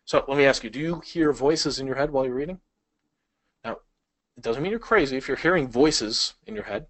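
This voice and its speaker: A man's voice: mid-range voice, brisk, fairly bright tone. Casual male speed-reading instructor. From Chicago, United States. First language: Polish. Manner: casual, conversational teaching with frequent fillers. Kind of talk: online video lesson.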